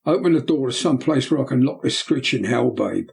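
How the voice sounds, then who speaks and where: A man's voice: fairly high pitch, a little slow, darkish tone, voice pushed up. A man voicing a violent, desperate murderer who barks orders and threats in an audiobook reading. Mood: fierce. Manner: fierce, menacing. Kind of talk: audiobook character voice.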